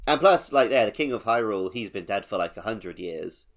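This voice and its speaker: A man's voice: lowish voice, expressive, fairly quick. A man co-hosting a podcast, chatting informally about a game. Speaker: podcast co-host. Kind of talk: casual game discussion podcast.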